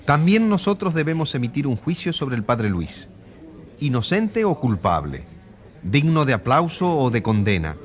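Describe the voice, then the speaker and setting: Animated, solemn radio announcer played by a man. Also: mid-range voice, normal speed, lightly acted. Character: solemn male radio announcer reporting from a trial. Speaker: narrator. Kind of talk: scripted radio drama narration.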